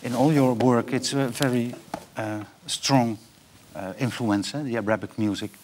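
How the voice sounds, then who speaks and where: A middle-aged man hosting an interview, asking short questions and nodding along with quick backchannel. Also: medium pitch, steady tone, background noise. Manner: short prompts and frequent backchannel. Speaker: host. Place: bright room with big windows, indoors. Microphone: lapel.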